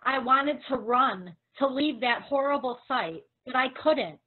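Woman acting a slow-speaking grieving disciple, dull audio. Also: sits high, lively intonation, breathy edge, heavily acted. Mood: sorrowful. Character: grieving devoted woman follower of Jesus. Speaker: reader in a dramatic reading. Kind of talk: dramatic Easter scripture reading. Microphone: video call. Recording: dull, lo-fi video-call audio.

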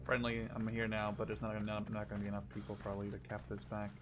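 A man in his twenties from the Netherlands, hosting a gameplay video in casual chat with a friend, excitable and teasing, swearing often. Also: lowish voice, quick pace. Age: 20s. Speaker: gaming video host. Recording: game sounds loud behind voice. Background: game noise, gunfire.

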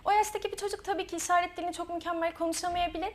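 Young woman guest, quick high voice, earnest, lots of little pauses. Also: steady tone. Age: young adult. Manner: earnest, quick, with frequent hesitations. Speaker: student author, guest. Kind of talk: interview answers.

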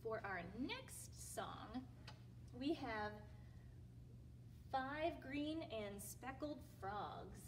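A woman with a high voice leads a children's storytime, talking to little ones in an encouraging way. She is recorded from a few metres off in a library room, with clear background noise behind her. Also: lively intonation, extremely slow, long pauses. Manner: addresses young children directly, encouraging. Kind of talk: sing-along children's storytime. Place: library room among bookshelves, indoors. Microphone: camera mic a few metres away. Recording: distant mic, room noise.

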